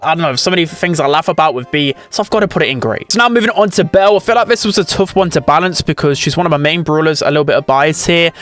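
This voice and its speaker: A man's voice: a little high, animated, fast talker, few pauses, fairly bright tone. A man hosting a gaming video, giving casual opinion commentary.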